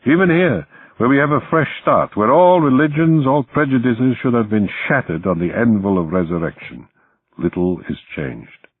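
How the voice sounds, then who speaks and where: A man's voice: medium pitch, animated, moderate pace, slightly rough, voice pushed up. A man voicing a bitter, weary camp survivor with a hollow, rhetorical delivery in an audiobook. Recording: muffled, phone-like, roomy audio.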